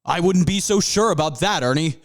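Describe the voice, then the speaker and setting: A heroic panther scientist, played by a man, who lectures his students with proud good cheer. His voice rises and falls in a lively way and sits a little high. It fits an amateur comedy audio drama. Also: a little fast. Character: cheerful male panther mascot scientist, a hero.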